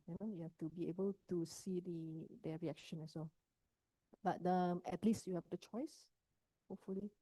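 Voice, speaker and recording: A woman's voice: fairly low pitch, dark tone, breathy edge, no echo, low-quality recording. A woman from Indonesia, a psychologist giving a workshop talk on mental health, often checking in with her listeners as she explains.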